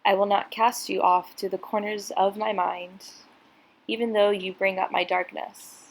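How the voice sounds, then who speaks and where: A woman guiding a meditation in a soothing, unhurried, instructional way. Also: medium pitch, level tone, gravelly, rough recording. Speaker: meditation guide. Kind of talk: guided meditation narration.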